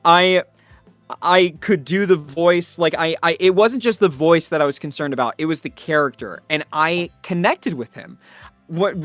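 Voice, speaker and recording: A man's voice: a little high, fairly bright tone, dry recording. A man talking enthusiastically in an interview as a voice actor, effusive and emphatic.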